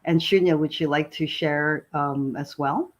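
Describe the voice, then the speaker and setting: A woman from Malaysia, co-hosting, speaking warmly but hesitantly, with many ums and restarts. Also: sits low, steady tone, a little slow. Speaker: co-host. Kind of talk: casual spoken remarks.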